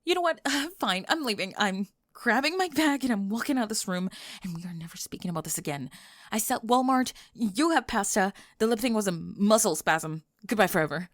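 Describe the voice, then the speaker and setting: A woman's voice: medium pitch, animated, a little fast, no room sound, clean studio sound, close to an everyday voice. A woman playing a flustered young goth girl with a secret crush, rambling and stammering breathlessly in an ASMR roleplay. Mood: huffy. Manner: rambling, stammering, breathless panicked over-explaining.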